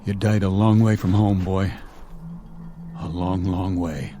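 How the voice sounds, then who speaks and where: A man playing a stern, level-headed colonel commanding a stranded space crew in a radio drama, with a measured, authoritative manner. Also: deep voice, fairly even intonation, background noise. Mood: somber.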